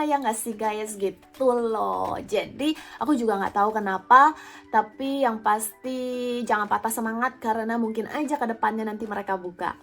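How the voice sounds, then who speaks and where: A young woman with an Indonesian accent, talking casually and informally to viewers as she answers their questions. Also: on the high side. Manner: informal, conversational, addresses viewers directly. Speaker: vlogger, former or current flight attendant. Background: background music.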